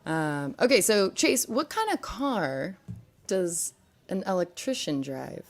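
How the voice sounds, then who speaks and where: Gravelly-voiced young woman hosting. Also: mid-range voice, very animated, slow pace. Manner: chatty, upbeat, frequent "like". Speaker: host. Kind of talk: casual live-stream hosting.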